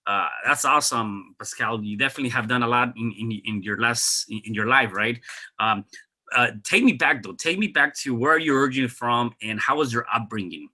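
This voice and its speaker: A man's voice: medium pitch, lively intonation, a little fast, bright, forceful tone. A man hosting a podcast interview, conversational, with many fillers and repeated words. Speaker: podcast host.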